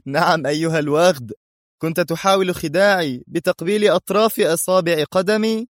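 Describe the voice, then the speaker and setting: Guy doing a smug spy hero, sarcastic and taunting, voice lifted up high, audiobook drama. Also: fairly quick, dry recording, clean studio sound, natural voice. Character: cocky, mocking male secret agent hero.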